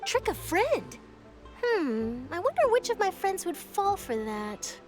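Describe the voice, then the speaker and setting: A woman playing an eager, polite young female reporter with a chatty, expressive manner in an anime-style video game. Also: extremely high pitch, slow, over music, full character voice. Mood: curious, friendly. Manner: bright-spirited, chatty, expressive.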